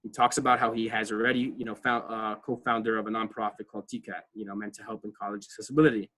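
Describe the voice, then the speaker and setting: Bright-toned man leading an online class. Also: lowish voice, flat delivery, fast talker. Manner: conversational, many fillers, frequent "right?" checks. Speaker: college advising workshop presenter. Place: online video call.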